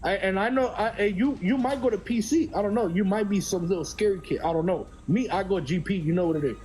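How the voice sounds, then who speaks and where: Guy with a high voice going off in slang, insistent, keeps repeating himself. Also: quick pace, darkish tone, a little hiss or hum. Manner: insistent, repetitive. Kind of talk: blunt street-slang lecture to camera.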